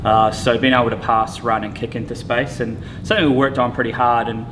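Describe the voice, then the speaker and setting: A man, a rugby head coach, answering interview questions in a relaxed, halting way with many fillers. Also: medium pitch, background noise.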